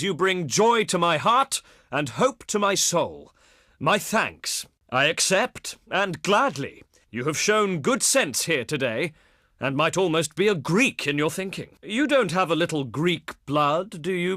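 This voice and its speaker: A proud, cultured Greek statesman of antiquity for a video game. His delivery is highly animated, with a fairly high male pitch and a close, dry sound. He speaks formally and grandly, with wry, pompous flourishes. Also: dry recording, heavily acted. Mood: gracious, pleased. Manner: pompous, flowery, formal oratory. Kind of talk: theatrical game diplomacy voice lines.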